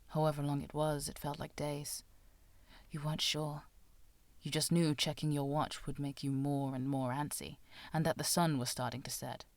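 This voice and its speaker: Low-voiced woman narrating a story, dry sound. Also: no room sound. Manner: expressive, theatrical delivery. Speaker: amateur audiobook narrator. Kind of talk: dramatic scripted narration of fan fiction.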